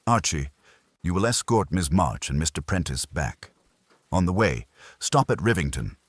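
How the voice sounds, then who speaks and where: A man acts out a pedantic, commanding master detective from a mystery audiobook. He speaks in ornate, formal sentences, very low and husky, with emphatic swings of pitch. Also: very animated, moderate pace, dry recording, exaggerated voice.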